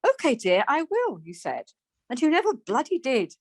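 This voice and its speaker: A woman's voice: sits high, wildly swooping pitch, normal speed, breathy edge, no room sound, studio quality, full character voice. A woman acting a scatty, easily exasperated wife in a domestic radio comedy. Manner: flustered, snippy.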